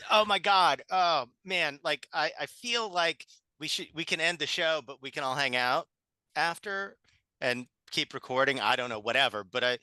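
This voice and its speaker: A male host with a very bright, forceful voice and clean, crisp tone. He talks informally and effusively in a dry, close recording. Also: fairly high pitch, moderate pace, dry recording. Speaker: host of a theatre panel show. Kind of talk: casual, informal show sign-off.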